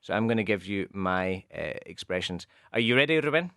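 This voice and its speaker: A man's voice: sits low, expressive, pushed and bright, no echo, clean studio sound. Glaswegian man, patient explainer. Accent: Glasgow. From west of Scotland. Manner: patient, explains slowly, mixes in Spanish. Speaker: radio show co-host teaching English. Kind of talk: bilingual radio chat, explaining football idioms.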